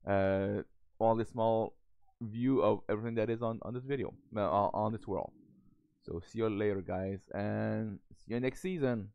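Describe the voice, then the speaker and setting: A low, gravelly man who is hoarse from a cold, talking slowly and haltingly with lots of fillers and restarts. His voice comes through a tinny, phone-like mic in a dry, close space. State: losing his voice, has a cold. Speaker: Minecraft server host. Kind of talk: casual gameplay voice-over.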